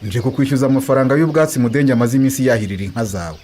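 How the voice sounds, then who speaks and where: A man's voice: mid-range voice, very fast, darkish tone, a little hiss or hum, close to an everyday voice. A man with a Rwandan accent acting a persistent village leader in a radio drama, explaining himself insistently. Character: persistent village leader politely collecting an unpaid debt.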